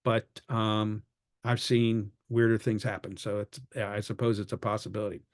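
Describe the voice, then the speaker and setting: A man's voice: fairly low pitch, little pitch movement, moderate pace. Man giving an advocacy update. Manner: conversational, many fillers and restarts. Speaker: advocacy group leader. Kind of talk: online meeting update and Q&A.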